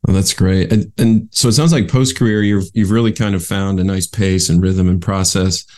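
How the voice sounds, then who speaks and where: A man's voice: low voice, steady tone, moderate pace, a little mellow. A man from West Michigan hosting a casual interview, conversational and affirming toward his guest. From West Michigan, USA. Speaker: podcast host.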